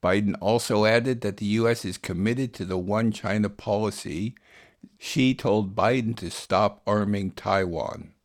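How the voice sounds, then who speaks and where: Male radio host, low-pitched, scripted headline rundown. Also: unhurried. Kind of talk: scripted news headline summary.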